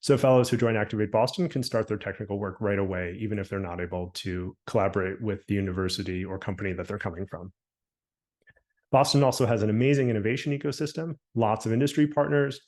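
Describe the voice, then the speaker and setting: A man from the program staff presenting slides on a video call, listing names at a steady clip. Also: fairly low pitch. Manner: brisk listing, slowing on names.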